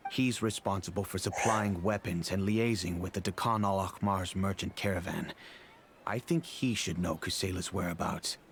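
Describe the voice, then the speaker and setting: Low-voiced, earnest middle-aged father. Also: fairly even intonation, noisy background. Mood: helpful. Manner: measured, formal, earnest. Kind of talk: video game character acting.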